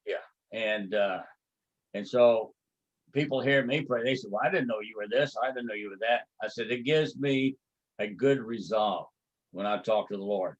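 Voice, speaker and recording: An older man with a Texas accent, a martial arts grandmaster recalling his past in an interview, telling it slowly with many fillers and pauses. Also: fairly low pitch, dull audio. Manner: slow, halting storytelling with many fillers. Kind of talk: reminiscing interview.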